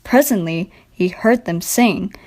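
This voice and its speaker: Unhurried woman reading a children's story. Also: mid-range voice, a little mellow. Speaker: storybook narrator. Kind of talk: scripted children's story narration.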